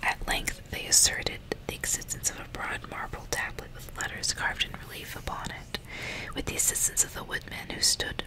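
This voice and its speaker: Hushed, breathy whisper from a woman. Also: low-quality recording. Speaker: ASMR host reading a novel aloud. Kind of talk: whispered ASMR audiobook reading with casual asides.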